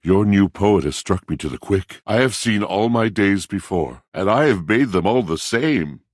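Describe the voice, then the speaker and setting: Game role: brooding, grandiose appliance with a tragic poet's soul, very low husky voice, florid delivery full of dramatic pauses. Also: male voice, lively intonation, dry recording, studio quality. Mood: wistful, awed. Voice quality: deep, husky, gravelly-soft. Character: melancholy, self-pitying, poetic washing machine with theatrical grandeur. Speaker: video game character voice. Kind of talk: theatrical video game voice acting, poetic monologue.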